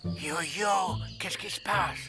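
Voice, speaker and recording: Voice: medium pitch, drawn-out words. An actor playing a timid, wordy little male animal sidekick in a French cartoon, speaking hesitantly and mock-formally. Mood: anxious. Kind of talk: French cartoon dub acting. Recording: music behind the voice.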